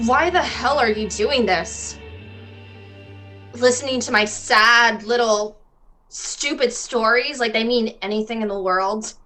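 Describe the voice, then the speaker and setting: Woman playing bright, defiant young woman. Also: a little high, a little slow, soft background music. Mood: bitter, exasperated. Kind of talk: drama dialogue.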